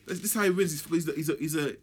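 Young man, husky, fast, emphatic. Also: a little high, a little mellow. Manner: rapid, emphatic, slangy. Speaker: sports scientist. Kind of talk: casual chat about boxing.

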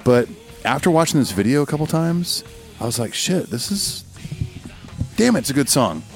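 A man's voice: medium pitch, big pitch swings, normal speed. A man co-hosting a music podcast in casual, conversational talk with some swearing. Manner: informal, profane, conversational. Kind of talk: casual chat about rock music.

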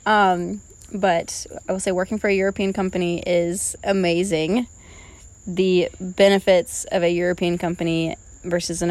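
A woman in her twenties co-hosting a casual podcast, talking in a rambling, filler-heavy, self-deprecating way. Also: mid-range voice. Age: 20s. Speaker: podcast co-host. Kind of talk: casual chat.

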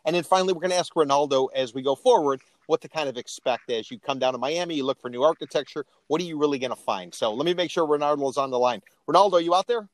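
A man's voice: on the high side, fast, hardly any pauses, dry recording. A man hosting an interview podcast in a casual, chatty, informal way with emphatic delivery. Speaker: podcast host.

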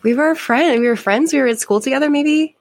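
A woman's voice: sits high, a little fast. A woman from rural Georgia, a civic designer guest who talks in a friendly, thoughtful way.

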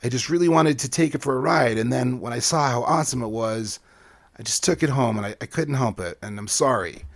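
A man's voice: medium pitch, brisk, dry recording, lightly acted. A man voicing a young boy who pleads and makes excuses in a whiny tone. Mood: confessing.

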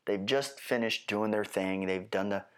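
A man's voice: on the low side, normal speed, smooth. A nasal-sounding man getting over a head cold, hosting his solo podcast with rambling, wry asides. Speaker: podcast host.

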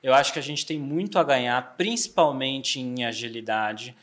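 Bahian guy in his 40s, accent kinda mixed with são paulo, relaxed business podcast chat, lots of "né", mid pitch. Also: moderate pace. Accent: Bahian Portuguese softened by São Paulo. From Bahia, Brazil. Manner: relaxed, frequent fillers and tag questions. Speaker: trade marketing director guest.

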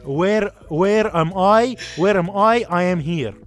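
A man voicing a proud male anime hero with an Egyptian-style accent, proclaiming slowly and haltingly. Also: high voice, soft background music, voice pushed up. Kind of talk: podcast banter impression.

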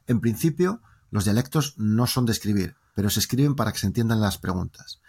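A man's voice: on the low side, a little fast, husky. Spanish man telling stories. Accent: Spanish from Spain. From Spain. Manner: lively, joking storyteller, talking in long winding turns. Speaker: interview guest. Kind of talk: casual interview conversation.

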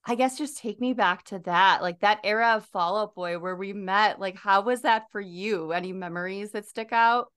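A woman's voice: medium pitch, normal speed, hardly any pauses, fairly bright tone, smooth. A woman hosting a podcast, upbeat and chatty, with a slightly scratchy voice. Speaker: podcast host. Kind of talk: casual podcast chat.

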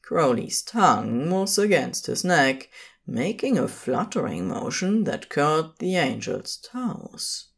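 A woman's voice: sits low, big pitch swings, slow, gravelly, breathy edge. A woman reading scripted fiction as the narrator of an audio story, in a dramatic storytelling style.

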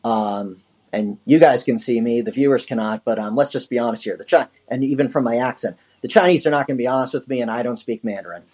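A man's voice: mid-range voice, fast talker, darkish tone, husky. A man co-hosting a podcast, chatting about markets with frequent hesitations. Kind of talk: casual market commentary.

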